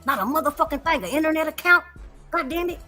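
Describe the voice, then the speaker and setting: A woman in her forties argues with heat and swears freely, hammering the same phrase again and again to drive it home. Her voice sits high and comes across bright and forceful. Soft music plays underneath her talk. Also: expressive, quick pace. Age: 40s. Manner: emphatic, profane, repeats phrases for stress. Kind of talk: heated casual debate.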